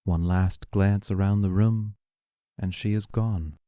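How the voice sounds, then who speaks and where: A man's voice: on the low side, steady tone, slow pace, warm tone, no room sound, studio quality. A man reading scripted stage directions as the narrator of an audio play.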